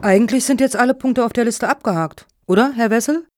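A woman acting in a radio satire as a brisk, formal foundation juror with a businesslike, measured manner. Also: on the high side, over music, lightly acted. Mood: brisk, official.

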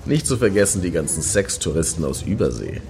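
A cynical, crude ex-boyfriend in a satirical radio play. His deep voice swings widely in pitch as he grumbles and mocks. There is music behind him. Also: sing-song, a little fast, darkish tone. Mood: grumbling. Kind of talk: German radio play dialogue.

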